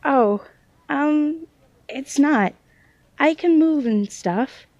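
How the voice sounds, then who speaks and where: Woman playing a hesitant, high-voiced young woman. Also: darkish tone, no echo, muffled recording, heavily acted. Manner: hesitant, halting, self-doubting. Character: hesitant young woman with unreliable psychic sight. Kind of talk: naturalistic audio drama dialogue.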